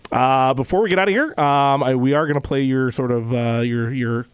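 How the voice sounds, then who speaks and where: A man's voice: medium pitch, normal speed, clear, resonant tone, no echo. A man hosting a casual sports radio interview show from a studio, rambling and repeating words as he restarts sentences. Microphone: studio microphone.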